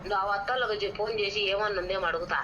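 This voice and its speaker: A woman's voice: medium pitch, fairly even intonation, very fast. A woman speaking bluntly and scolding in a casual phone conversation. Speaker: prank call participant. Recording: muffled, very noisy phone call.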